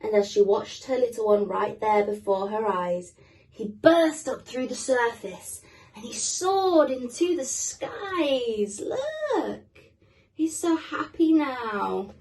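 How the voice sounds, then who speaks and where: A woman guest reader giving an expressive children's storybook reading. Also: fairly high pitch, slow, poor audio.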